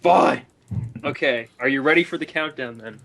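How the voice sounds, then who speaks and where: A man's voice: fairly high pitch, normal speed, creaky, a bit bright. A man chatting casually about films and books over a voice call. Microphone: voice call on a computer. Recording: noisy internet voice call with clicks.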